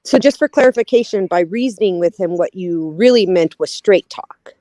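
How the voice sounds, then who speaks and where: A woman's voice: fairly low pitch, animated, moderate pace, warm tone, no echo. A woman in recovery choosing her words carefully in a casual debate, often stammering as she clarifies. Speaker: recovering alcoholic.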